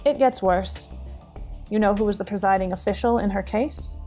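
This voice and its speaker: Actress as wary fantasy spy lady giving a matter-of-fact briefing, audio drama, soft music under. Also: medium pitch, normal speed, natural voice. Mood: dry, guarded. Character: wary, well-informed female informant in fantasy city.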